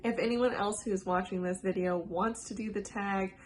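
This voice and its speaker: A woman's voice: mid-range voice, fairly even intonation, moderate pace, a little mellow, slight background noise. A woman making a casual book vlog, chatty and enthusiastic, sounding a bit congested from a cold. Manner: chatty, enthusiastic, filler-heavy. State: congested, under the weather. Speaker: book vlogger. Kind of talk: casual book tag video.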